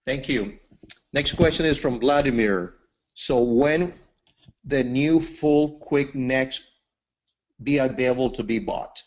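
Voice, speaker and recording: A man's voice: medium pitch, takes it slowly, pauses often, poor audio. A man moderating a Q&A, reading out audience questions in a brief, businesslike way.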